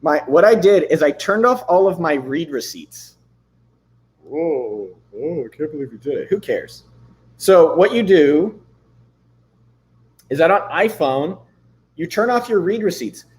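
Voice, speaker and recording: Man, thirties, livestream host, slightly higher voice, hoarse, loose rambling talk. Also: lively intonation, a little slow, pauses often, a little mellow. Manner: loose and rambling, swears casually. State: losing his voice. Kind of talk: casual livestream chat with screen demos.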